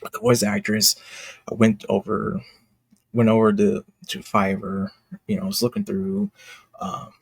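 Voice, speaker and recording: A man giving casual behind-the-scenes commentary as an indie creator, talking in a halting, rambling, enthusiastic way. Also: lowish voice, big pitch swings, gravelly, husky, no room sound. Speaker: indie comic creator and video host.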